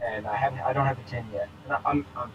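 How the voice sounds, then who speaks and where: A man in his twenties co-hosting a casual podcast, easygoing with quick joking asides. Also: medium pitch, lo-fi sound, background noise. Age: 20s. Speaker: podcast co-host. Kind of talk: casual chat.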